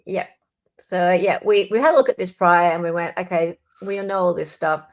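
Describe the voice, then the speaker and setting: A woman's voice: fairly low pitch, moderate pace. A woman hosting a casual live legal commentary chat, talking informally and directly to her viewers. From Australia. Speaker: livestream host.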